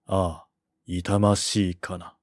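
Grieving composed strategist read by a man, solemn, slow, literary lament, storybook. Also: deep voice, little pitch movement, dry recording, clean studio sound, pitched below the performer's own voice. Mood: mournful.

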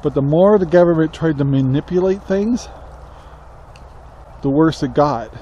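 An older American man in his sixties speaks in a soft-edged, mellow voice that sits a little high. He talks casually to a camera held at arm's length, pausing and picking his words in a plain, reflective way. There is faint outdoor noise around him. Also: dull audio. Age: 60s. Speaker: country living vlogger. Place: outdoors on a rural yard beside a metal barn.

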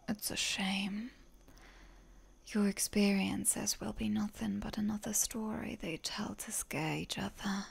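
A woman playing a seductive, predatory female vampire in a whispered roleplay ASMR, teasing and deliberate in a breathy voice. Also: medium pitch, exaggerated voice. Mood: seductive, possessive. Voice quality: breathy whisper with heavy creak.

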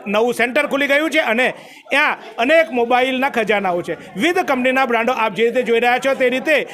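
A man's voice: extremely high pitch, a bit bright. A middle-aged man, reporting on a shop opening in a chatty, salesy manner into a handheld mic inside a crowded store. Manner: chatty, salesy, jokes with viewers. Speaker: local news reporter. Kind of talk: on-the-spot promotional report. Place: crowded mobile shop, indoors.

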